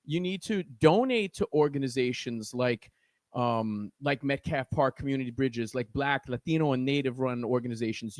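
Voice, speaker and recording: A man's voice: fairly high pitch, dry recording. A man with a New York accent speaking as a guest organizer in an impassioned podcast discussion, earnest and preachy, often restarting his words.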